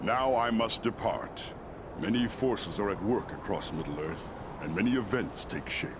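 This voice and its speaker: An actor playing a noble, commanding giant eagle lord in a fantasy game, speaking formally and gravely. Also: male voice, on the low side, lively intonation, a little slow, background noise. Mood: solemn, commanding. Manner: formal, stately, solemn. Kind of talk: fantasy game cutscene acting.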